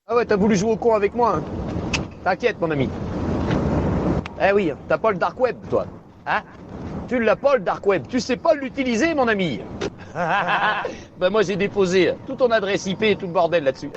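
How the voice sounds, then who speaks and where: A man comes over a dull, lo-fi CB radio link, a faint hiss running under his voice. He jeers and goads another user, laughing at his own jokes. His voice sits a little higher than most men's. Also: expressive, moderate pace, long pauses. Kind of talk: taunting radio chatter.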